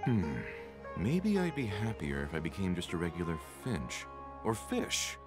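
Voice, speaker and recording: A man's voice: deep voice, huge pitch swings, a little slow, music in the background, heavily acted. An actor playing a weary, earnest villager in a video game, wistful about his ordinary life. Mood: wistful, resigned. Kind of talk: video game character acting.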